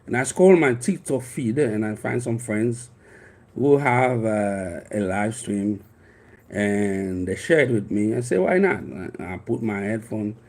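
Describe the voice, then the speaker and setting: Husky-voiced Haitian man, rambling storyteller. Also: lowish voice. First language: Haitian Creole. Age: 50s. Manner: rambling, earnest, frequent restarts and fillers. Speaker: life coach. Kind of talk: personal storytelling monologue.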